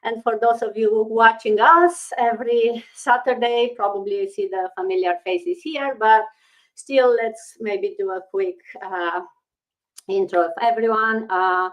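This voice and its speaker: A woman's voice: a little high, unhurried. A woman from Romania hosting a casual live stream, chatting loosely with fillers and restarts. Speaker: host. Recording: dull lo-fi stream audio.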